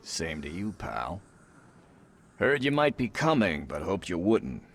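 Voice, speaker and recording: Game gang leader: deep voice, resigned, unruffled, quietly menacing. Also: male voice, big pitch swings, a little slow, slight background noise, exaggerated voice. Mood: wary menace. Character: world-weary male gang leader, quietly threatening. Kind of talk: video game cutscene acting.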